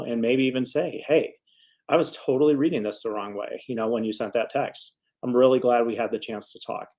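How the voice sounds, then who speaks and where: A man's voice: medium pitch, a little mellow. Man giving a casual, earnest advice talk. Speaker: attorney speaking to followers. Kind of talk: casual advice vlog to camera. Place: office.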